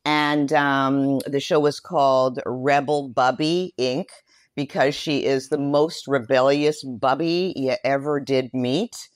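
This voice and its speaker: Older-ish lady chatting in an interview, low slow voice, kinda reflective, very dry sound. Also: fairly even intonation, dry recording. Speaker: guest, writer, director and voice performer. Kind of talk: casual interview.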